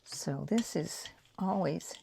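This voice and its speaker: A low, gravelly-voiced woman in her sixties with a light Boston accent talks her way through a crafting session. She chats and rambles, often slipping into soft whispers as she works. A faint hiss sits under her voice. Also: lively intonation, takes it slowly. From New England, United States. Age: 60s. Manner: chatty and rambling, with soft whispered asides while working. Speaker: artist and craft vlogger. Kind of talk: casual chat while making prints.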